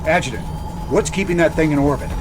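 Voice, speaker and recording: An actor playing a gruff, wry rebel commander in a video game, with a laconic American Southern drawl. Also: male voice, a little high, quick pace, natural voice. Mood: determined. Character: gruff, cocky rebel commander, space outlaw in his forties. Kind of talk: video game cutscene acting. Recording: loud game music behind.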